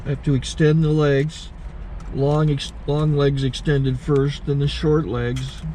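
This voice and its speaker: A man hosting his channel, casually walking through a gear setup with halting self-corrections. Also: on the high side, little pitch movement, mellow, poor audio. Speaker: channel host, recording taper. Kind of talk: casual how-to demonstration. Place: inside a truck cab. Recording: faint car and outdoor noise.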